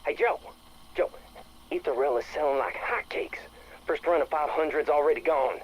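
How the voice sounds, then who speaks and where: A man's voice: on the high side, moderate pace, slight background noise, close to an everyday voice, rough recording. A man playing a chatty, brash small-town guy leaving a casual, slangy, enthusiastic message in a video game. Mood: upbeat. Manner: breezy, slangy, joshing.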